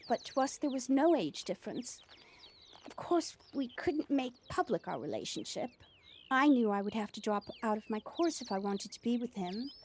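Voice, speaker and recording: High-voiced earnest widowed mother played by an actress. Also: darkish tone, close to an everyday voice. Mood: reflective. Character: proud, devoted widowed mother who runs a village cafe. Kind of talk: audio play dialogue, reflective storytelling. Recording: noisy home recording on personal device.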